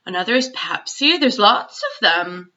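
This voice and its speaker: A woman's voice: medium pitch, big pitch swings, measured pace, pushed and bright, muffled recording. A woman voicing a polite, inquisitive young girl from a storybook in a matter-of-fact way.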